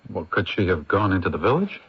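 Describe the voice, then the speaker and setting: An actor playing an affable, practical film director in an old-time radio drama, easygoing and courteous. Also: male voice, sits low, big pitch swings, quick pace, slightly rough, full character voice. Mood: concerned. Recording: dull old radio recording.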